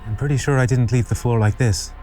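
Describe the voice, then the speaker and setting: Male tabletop player, mid pitch, mellow tone, casual joking in-character chat, faint music. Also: steady tone, quick pace.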